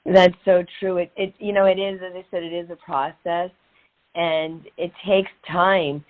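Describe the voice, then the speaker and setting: A woman's voice: fairly low pitch, level tone, a little slow. Middle-aged woman, earnest motivational coach, phone-like sound. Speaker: guest, transformational and women's empowerment coach. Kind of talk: conversational interview, motivational storytelling.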